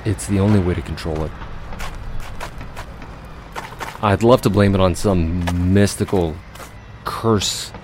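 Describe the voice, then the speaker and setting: A man playing a cold, fastidious, obsessive recluse in an audio drama monologue, speaking in a precise, deliberate, brooding way. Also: sits low, background noise, close to an everyday voice. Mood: disgusted, brooding. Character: cold, fastidious, obsessive male recluse confessing monstrous urges.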